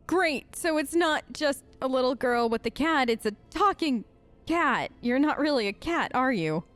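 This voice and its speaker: A woman's voice: high voice, animated, a little slow, a bit bright. A woman doing improvised role-play commentary over a video game in a sassy, sarcastic, conversational way. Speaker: gaming video host.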